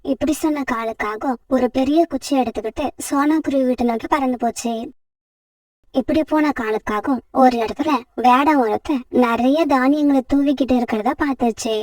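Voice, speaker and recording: High-pitched woman storyteller. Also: normal speed, a little mellow, dry recording, recorded from a distance. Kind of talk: scripted narration of a children's story.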